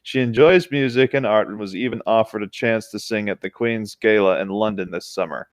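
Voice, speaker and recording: A man's voice: lowish voice, brisk, dry recording, clean studio sound, close to an everyday voice. Man acting a formal, theatrical wizard henchman. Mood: reporting. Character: haughty older male wizard henchman, obsequious to master.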